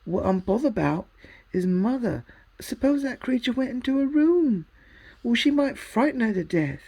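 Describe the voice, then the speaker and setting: A woman's voice: a little high, very animated, normal speed, mellow, slightly rough, pitched above the performer's own voice, exaggerated voice. A woman voicing an anxious, flustered young English boy in a storybook reading, pleading and exasperated. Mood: worried.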